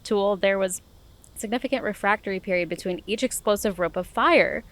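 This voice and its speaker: A deadpan male police officer, read by a woman at a mid-range pitch. The lines come out quiet and matter-of-fact. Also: fairly quick, close to an everyday voice.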